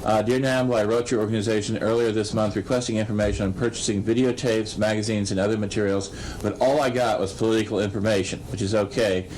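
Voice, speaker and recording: Low-voiced man explaining things. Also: fairly even intonation, fast, hardly any pauses, a little mellow, low-quality recording. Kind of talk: documentary interview, explanatory talk. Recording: faint noise, old documentary.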